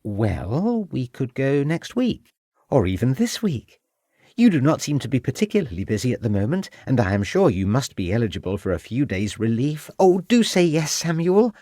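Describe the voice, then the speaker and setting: A man voicing an affectionate, eager young Victorian wife who pleads and gushes in a lifted, lively voice. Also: mid-range voice, normal speed, no room sound, studio quality. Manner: gushing, pleading.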